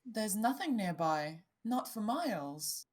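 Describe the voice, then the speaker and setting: Woman as a slow, defiant young princess. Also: mid-range voice, big pitch swings, darkish tone, a little hiss or hum. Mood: cold. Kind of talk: table read acting.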